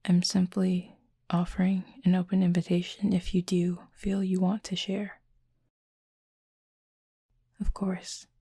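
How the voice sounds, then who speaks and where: A woman voicing a gentle, formal cupid roommate in an intimate roleplay audio, soft, breathy and husky, speaking in a measured, earnest way. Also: fairly low pitch, completely flat delivery, no room sound, studio quality, heavily acted. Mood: gently concerned, then hesitant, puzzled.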